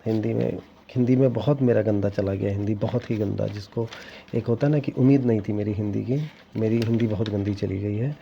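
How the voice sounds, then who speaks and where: A man's voice: on the low side, fairly even intonation, fairly quick, creaky, mellow, slight background noise. A young man talking casually to his viewers, rambling with frequent restarts and sounding regretful. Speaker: teacher exam candidate sharing his scores. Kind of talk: casual vlog talk to viewers. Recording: dull lo-fi sound with faint ambience.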